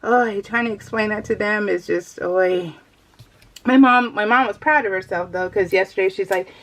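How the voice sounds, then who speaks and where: A woman's voice: medium pitch, moderate pace, poor audio. Chatty, casual middle-aged woman. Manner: giggly, animated storytelling with quoted exchanges. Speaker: craft vlogger. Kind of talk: casual chatty voice-over while crafting.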